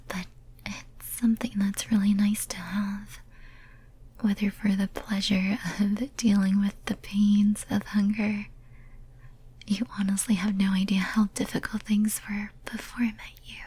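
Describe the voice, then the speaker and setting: Casting: actress for lonely caged female demon, ASMR roleplay. Very breathy whisper, slow, tender and hesitant. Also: mid-range voice, warm tone. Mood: grateful, tender. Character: lonely, clingy, pleading female demon sealed in a cage.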